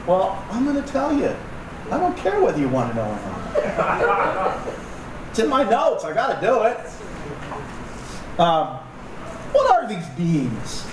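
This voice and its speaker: Joking pastor in an echoey hall. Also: male voice, high-pitched, huge pitch swings, slow, long pauses. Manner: conversational and joking, with asides to the congregation. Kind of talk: sermon. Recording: echoey room, distant mic, noisy.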